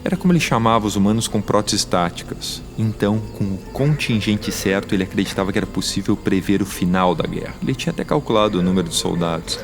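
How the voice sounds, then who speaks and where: A man performs a scientist with a mechanical arm in an audio drama. His voice sits fairly low, and he recounts a wartime past in formal, deliberate and wordy phrasing. Prominent music plays under him. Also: lightly acted. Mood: reflective, explanatory.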